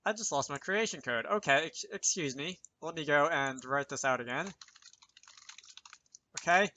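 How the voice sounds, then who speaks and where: A man's voice: fairly high pitch, normal speed, sharp tone. A man presenting an informal programming tutorial, thinking aloud with self-deprecating asides as he explains. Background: keyboard typing and mouse clicks.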